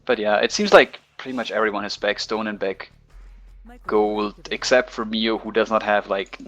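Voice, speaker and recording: Low-voiced man co-casting. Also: normal speed, fairly bright tone. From Liechtenstein. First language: German. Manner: thoughtful, hesitant, restarts phrases often. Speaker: co-caster. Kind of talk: esports commentary over voice chat.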